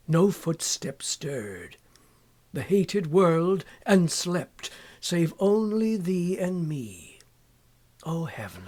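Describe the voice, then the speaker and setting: Male poetry reader, slightly high pitch, very unhurried, dramatic, impassioned, pause-laden, often hushed. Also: slightly rough. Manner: measured, impassioned delivery with many pauses.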